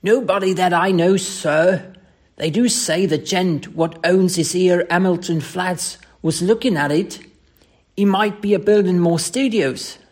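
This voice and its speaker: A reader voicing a young Cockney ex-soldier servant in an audiobook story, rough-spoken, emphatic and deferential. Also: mid-range voice, measured pace, darkish tone, pitched above the performer's own voice, close to an everyday voice. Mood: indignant, deferential.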